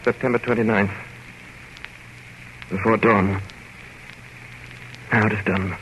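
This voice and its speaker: An actor playing an earnest, haunted doctor, speaking in grave, measured, dramatic tones in the style of a 1930s radio horror play. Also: male voice, lowish voice, steady tone. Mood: haunted, dread. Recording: noisy old 1930s radio recording.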